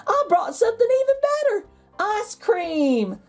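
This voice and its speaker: A woman voicing an excitable, cheerful and wise aunt in a children's storybook, calling out her lines. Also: very high-pitched, measured pace, soft background music, voice pushed up, full character voice. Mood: gleeful. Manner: exclamatory, calling out. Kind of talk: children's storybook read-aloud.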